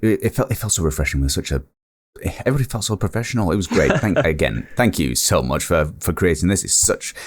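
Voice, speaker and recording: A man with a deep, low voice chats as a guest on a casual show. He is informal and earnest, and comes across as modest. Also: expressive, fast. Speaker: voice actor guest. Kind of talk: casual interview chat.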